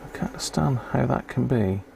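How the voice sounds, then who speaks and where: Gravelly man muttering through a repair. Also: medium pitch, expressive, a little fast, darkish tone. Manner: muttering to himself while concentrating on fiddly work. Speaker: amateur radio hobbyist hosting a live repair stream. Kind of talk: casual live electronics repair commentary. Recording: clear background noise.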